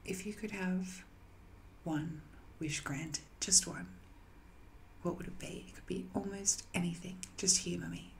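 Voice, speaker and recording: A woman playing an ancient banished evil spirit in an intimate audio drama, seductive, lonely and sly, with a coy, breathy delivery that often slips toward a whisper. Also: lowish voice, steady tone, measured pace, a little hiss or hum, low-quality recording. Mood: teasing, seductive.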